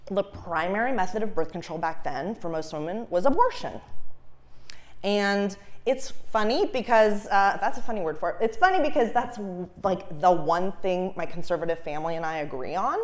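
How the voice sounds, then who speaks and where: A woman's voice: mid-range voice, lively intonation, normal speed. A young woman from Ukraine doing a stand-up comedy set on stage, chatty and self-mocking, often laughing at her own jokes. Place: comedy stage before a live audience.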